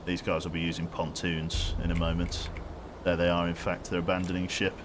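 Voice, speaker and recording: A man streaming a submarine game, calmly giving orders aloud and commenting as he plays. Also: deep voice, fairly quick, noisy background. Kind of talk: gameplay commentary. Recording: game music loud behind.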